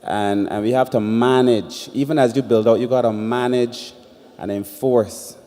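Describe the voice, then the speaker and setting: A Barbadian man chairs a public meeting with firm, informal remarks. His voice is dark and relaxed at an ordinary male pitch, carried in the long reverb of a hall. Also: moderate pace. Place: large indoor meeting hall.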